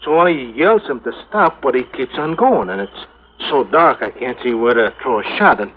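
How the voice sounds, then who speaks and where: An actor playing a jittery, evasive small-time crook in a 1950s radio drama, stammering in rough street grammar. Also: male voice, mid-range voice, lively intonation, moderate pace, close to an everyday voice. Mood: nervous, eager. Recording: tinny, slightly noisy vintage radio recording.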